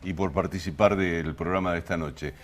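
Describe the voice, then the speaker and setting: A man's voice: low voice, steady tone, normal speed, soft background music. An Argentine man hosting an interview programme and commenting to the audience. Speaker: TV programme host.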